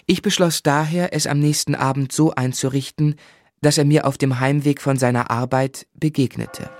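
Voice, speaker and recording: An actor playing a sensitive, courteous gentleman who narrates his own life in an earnest, literary radio drama style. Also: medium pitch, steady tone, moderate pace, no echo, studio quality, natural voice. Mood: wistful narration. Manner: earnest, reflective.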